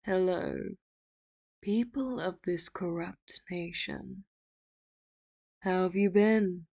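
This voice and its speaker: A woman voicing a possessive, doting female villain in an audio roleplay, with a soft, intimate voice that slips into whispers and sweetly manipulative talk broken by quiet laughs. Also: on the low side, vocal fry, breathy edge, exaggerated voice. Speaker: ASMR roleplay voice actor. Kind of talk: audio roleplay, addressing the listener.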